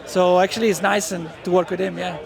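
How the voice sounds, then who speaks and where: A man with a high, gravelly voice answers questions at an outdoor race track. He talks haltingly, searching for words. A loud crowd fills the air behind him. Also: little pitch movement. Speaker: racer. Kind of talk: press day interview.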